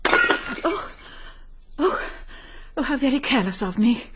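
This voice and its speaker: A woman playing a genteel, guarded English upper-class lady in a radio drama, speaking politely and with measured composure. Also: high-pitched, huge pitch swings, very noisy, exaggerated voice. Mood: composed, evasive.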